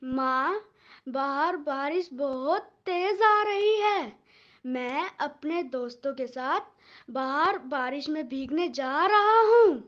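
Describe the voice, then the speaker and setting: Casting: woman as playful poor boy of eight for a cartoon, very high voice, unhurried, innocent and outspoken. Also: animated, fairly bright tone, dull audio, heavily acted. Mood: carefree.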